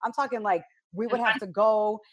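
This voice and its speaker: A woman's voice: medium pitch, steady tone, normal speed, fairly bright tone. An outspoken woman from Chicago's south side, talking as a guest on a panel discussion. She tells her stories bluntly and with lots of animation, in the easy, sure manner of a radio personality. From United States, south side of Chicago.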